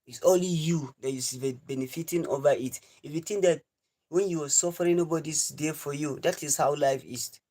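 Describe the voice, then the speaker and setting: A man's voice: on the high side, moderate pace, dark tone. A man in his twenties with a Nigerian accent, hosting a livestream and giving an earnest, repetitive lecture to his viewers. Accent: Nigerian English. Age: 20s. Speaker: live stream host. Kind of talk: preachy livestream monologue.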